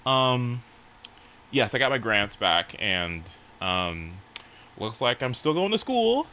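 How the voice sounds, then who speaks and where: A man's voice: medium pitch, big pitch swings, slow pace, pushed and bright, clean tone. A young adult man chatting casually on a game livestream, rambling informally and swearing freely. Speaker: live streamer. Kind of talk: casual livestream chat while playing a game.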